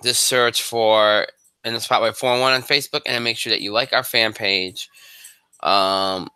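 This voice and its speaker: Male sports host, congested, high-sounding yet low-pitched speech, very bright and clean, dry close mic, loose rambling delivery. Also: steady tone, normal speed, clear, resonant tone, no echo. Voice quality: high voice for a man. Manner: rambling with many fillers and restarts. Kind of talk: live solo sports show.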